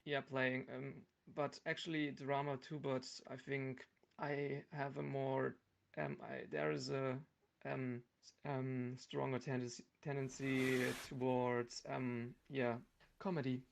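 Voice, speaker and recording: A man chatting as a podcast guest in a hesitant, halting way. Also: mid-range voice, level tone, creaky. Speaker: podcast guest, stage actor. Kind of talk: casual chat.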